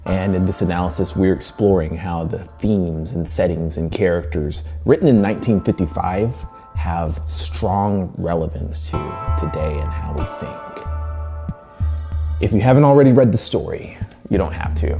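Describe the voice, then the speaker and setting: Male presenter for a story review, low and mellow, chatty and wry, soft music underneath. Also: creaky. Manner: conversational, wry asides. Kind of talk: semi-scripted literary analysis narration.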